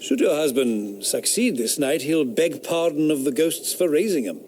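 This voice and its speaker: Cocky georgian thinker, scornful and preachy, radio play, a bit of hiss. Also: male voice, a little high, big pitch swings, normal speed, darkish tone. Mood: sardonic. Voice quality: scornful, declamatory. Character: sardonic 18th-century philosopher, scornful, rationalist man. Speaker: actor in radio drama. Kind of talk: period radio drama acting.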